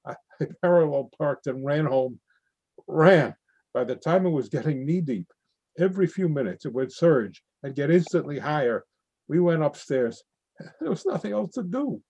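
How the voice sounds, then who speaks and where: Brief: man playing a storm-night driver, animated telling, warm dark tone, dry room. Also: a little high, normal speed, breathy edge, no room sound. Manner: vivid, animated storytelling. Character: man who drove through storm flooding. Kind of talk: readers theatre monologue.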